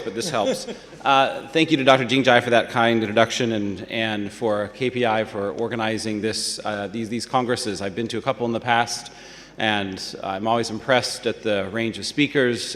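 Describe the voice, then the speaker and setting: A man, a professor on a panel, giving polite opening remarks with many filler pauses. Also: on the low side, a bit bright, long reverb tail. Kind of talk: conference talk. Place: large conference hall.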